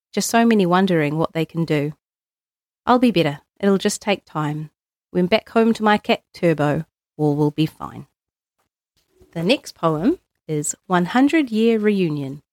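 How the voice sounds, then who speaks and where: A New Zealand woman reads verse aloud in measured phrases with small pauses. Her voice sits a little low, and the sound is dry and close. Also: a little mellow, no echo. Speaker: guest poetry reader. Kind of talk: poetry reading.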